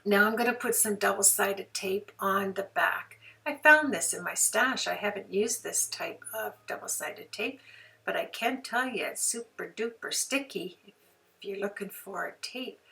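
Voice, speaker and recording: A hoarse woman hosting a card-making tutorial in a chatty, folksy way. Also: medium pitch, a little slow, pushed and bright, far from the mic. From Canada. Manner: chatty, folksy, homey phrases. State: hoarse from flu. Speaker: craft tutorial host.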